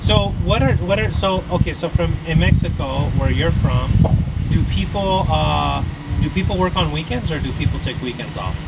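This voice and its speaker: A man's voice: on the high side, expressive, normal speed, gravelly. A man interviewing a passerby, asking curious questions with frequent halts and restarts. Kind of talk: casual street interview. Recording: loud outdoor street noise.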